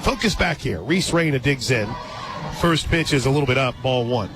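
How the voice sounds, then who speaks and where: A man doing live radio play-by-play of softball, chatty and informal, drifting into conversational asides between pitch calls. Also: animated, moderate pace. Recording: crowd noise and loud music behind. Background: ballpark crowd, music, outdoor stadium ambience.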